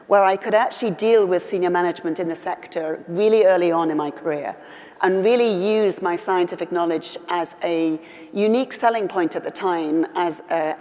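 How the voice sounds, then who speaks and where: Lady, middle-aged, thoughtful, kinda low voice, big echoey conference hall. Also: darkish tone. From West Wales. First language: Welsh. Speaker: award honoree. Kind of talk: on-stage conversation.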